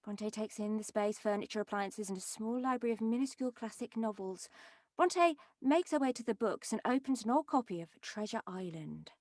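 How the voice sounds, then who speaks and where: A woman's voice: medium pitch, lively intonation, a little fast, a little mellow, no room sound, studio quality. A woman reading stage directions as scripted narration at a table read. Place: online call from indoor recording setups.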